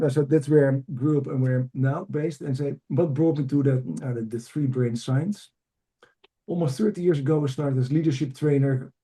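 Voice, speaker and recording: A man's voice: medium pitch, mellow. A middle-aged man from Amsterdam with a Dutch accent, a native Dutch speaker, telling his story conversationally as a guest. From Amsterdam, Netherlands. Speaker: podcast guest, leadership coach.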